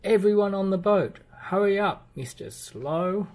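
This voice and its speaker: A man's voice: sits high, expressive, unhurried, a little mellow, slight background noise, voice pushed up. A man voicing a busy, bossy little storybook character who exclaims urgently and hurries everyone along. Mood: urgent, alarmed.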